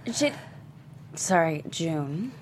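A woman playing an apologetic, hesitant young woman in a script table read, halting and contrite. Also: sits low, expressive, slightly rough, exaggerated voice. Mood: contrite, soft.

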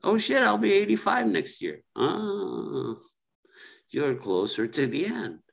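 A man speaking as a guest on a reflective podcast, slow and ruminative, with fillers and repeated phrases. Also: high voice, very animated, gravelly.

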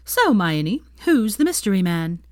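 A woman voicing a brash, excitable young woman who teases and gossips smugly in an audiobook-style story. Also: mid-range voice, normal speed, pitched above the performer's own voice, natural voice. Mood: smug, triumphant. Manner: excitable, smug, foul-mouthed.

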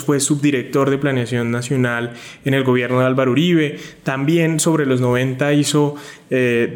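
A man hosting an interview podcast, asking conversational questions with frequent fillers. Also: mid-range voice. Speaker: podcast host.

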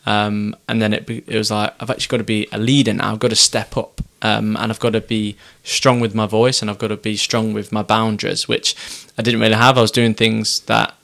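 Young guy, low gravelly voice, soft-spoken and hesitant, lots of ums. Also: level tone, brisk, few pauses. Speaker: business owner and podcast guest. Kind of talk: reflective casual chat.

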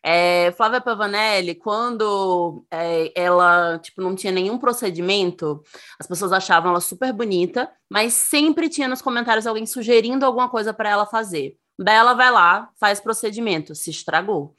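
A woman's voice: medium pitch, normal speed, pushed and bright. A woman content creator in casual conversation, speaking emphatically and animatedly, with frequent laughter.

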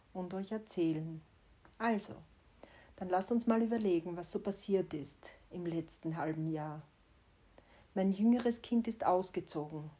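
Mellow, husky Austrian woman. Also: mid-range voice. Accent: Austrian, Styrian. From Styria, Austria. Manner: candid, wry, gently self-mocking. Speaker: vlogger. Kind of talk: personal reflective monologue.

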